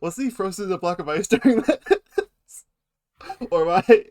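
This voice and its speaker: A woman's voice: medium pitch, animated, normal speed, darkish tone, no room sound, studio quality. A woman giving casual commentary with friends during a video game playthrough. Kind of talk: casual group commentary and voiced dialogue reading over a video game. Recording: game music behind the voice. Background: video game music.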